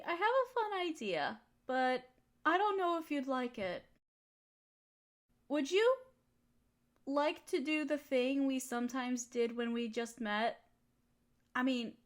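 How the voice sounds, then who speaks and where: A woman's voice: a little high, unhurried, lightly acted. A woman playing an affectionate, teasing girlfriend in an intimate comfort roleplay, soothing and cooing as she pampers her partner. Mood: teasing, tender. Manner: cooing, soothing pet-talk. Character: affectionate, teasing, nurturing girlfriend of a fox spirit. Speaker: audio roleplay voice performer.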